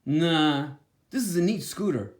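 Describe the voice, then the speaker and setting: A man's voice: a little high, expressive, a little slow. A man voicing a proud young boy who gives short, offhand replies in a storybook reading. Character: proud boy inventor, easily dazzled.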